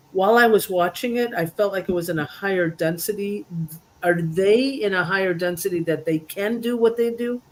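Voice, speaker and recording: A person chatting casually as co-host of a spiritual roundtable, telling stories in a rambling, animated, teasing way. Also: medium pitch, poor audio. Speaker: roundtable co-host reading cards.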